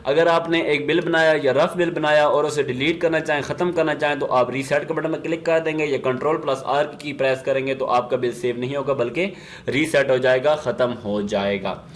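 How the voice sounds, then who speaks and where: A man with a Pakistani accent presenting an instructional software demo, explaining step by step and mixing in English business terms. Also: on the high side, fairly even intonation, brisk, slight background noise.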